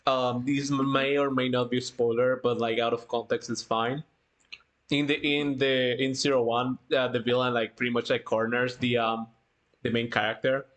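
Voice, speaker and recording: A man hosting a casual livestream chat, talking informally in a halting way and often repeating himself. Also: medium pitch, flat delivery, fairly bright tone. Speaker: livestream host.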